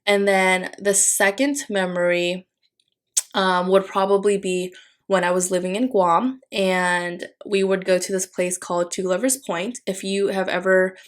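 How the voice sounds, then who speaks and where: A woman's voice: mid-range voice, little pitch movement, unhurried. A young woman from the Philippines whose first language is Tagalog, chatting casually in a voice-over while decorating a planner, rambling with many fillers and restarts. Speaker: planner vlogger.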